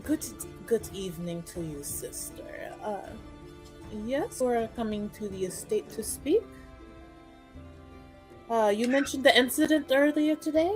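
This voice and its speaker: A woman's voice: a little high, unhurried, over music, close to an everyday voice. A woman voicing an evasive, well-mannered noblewoman in a fantasy tabletop game, with polite, slightly hesitant, genteel phrasing. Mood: wary, polite.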